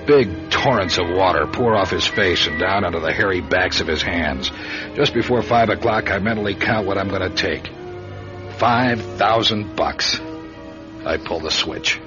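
An actor playing a wry, middle-aged hard-boiled card hustler in a radio detective drama, with a dry wisecracking delivery. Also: male voice, low-pitched, normal speed, natural voice. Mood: dry narrating. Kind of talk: radio detective drama, first-person narration and dialogue. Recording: dull lo-fi radio show, music behind.

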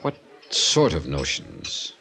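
An actor playing a wry, dogged insurance investigator in 1950s American radio drama, with a dry, clipped way of talking. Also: male voice, rumbling low, wildly swooping pitch, takes it slowly, sharp tone, full character voice. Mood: dry, probing. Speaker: actor in lead role and narrator. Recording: vintage radio broadcast with music.

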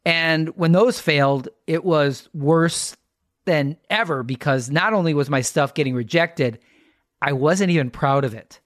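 A man's voice: fairly high pitch, a little slow, a bit bright, dry recording, clean studio sound. A middle-aged American man hosting a podcast, telling stories conversationally in a wry, self-deprecating way. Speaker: podcast host. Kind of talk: conversational storytelling.

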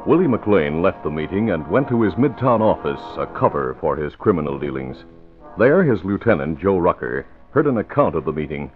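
Animated male old-time radio announcer. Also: sits low, moderate pace. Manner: dramatic announcing. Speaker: announcer and narrator. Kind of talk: 1950s radio scripted narration. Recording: dull old radio recording. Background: music.

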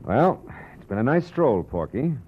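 Wisecracking hardboiled detective narrator. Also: male voice, mid-range voice, big pitch swings, moderate pace, husky. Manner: wisecracking, laconic. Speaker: hardboiled private eye narrator. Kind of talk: 1940s radio acting. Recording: dull old radio recording with music.

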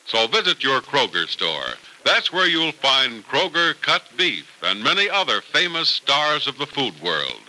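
A man's voice: medium pitch, very animated, a little slow, hardly any pauses, pushed and bright, poor audio. A man announcing and narrating old-time radio from a script in a polished, emphatic style.

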